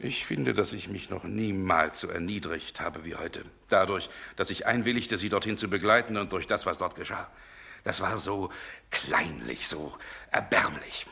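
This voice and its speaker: Casting: proud, weary gentleman for vintage radio drama; lowish, forceful, husky voice, curt and scornful. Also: normal speed, full character voice. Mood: bitter self-disgust. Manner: curt, dismissive, accusing. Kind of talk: 1960s German radio drama acting. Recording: 1960 radio play recording.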